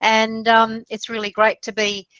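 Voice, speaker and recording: A woman with an Australian accent chatting casually on a webinar call, relaxed, with many fillers. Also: a little high, a little slow, no echo. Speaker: webinar panelist, e-learning mentor. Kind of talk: casual video call chat. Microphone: video call. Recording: dull, dry video call audio.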